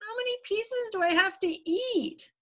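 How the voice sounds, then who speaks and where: Woman voicing a squeaky, whiny little boy pea. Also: unhurried, pushed and bright, heavily acted. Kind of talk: picture-book character voice.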